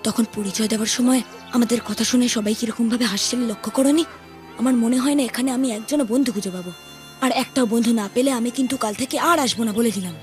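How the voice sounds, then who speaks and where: A woman voicing a playful young cowherd boy in a cartoon, speaking brightly and quickly. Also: a little high, natural voice. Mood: worried. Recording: music playing behind the voice.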